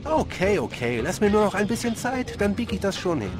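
Easygoing, slangy male cop played by an actor, higher-pitched and animated, music underneath; radio play. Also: fairly quick. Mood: cheeky pleading. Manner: joking, casual, slangy. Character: broke, wisecracking, easygoing male police detective Tyler. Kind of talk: audio drama acting of a video game story.

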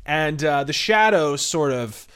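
A man's voice: fairly high pitch, animated, takes it slowly, a bit bright, clean tone. A man hosting a podcast, giving casual history commentary in a conversational way with wry asides. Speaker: podcast host.

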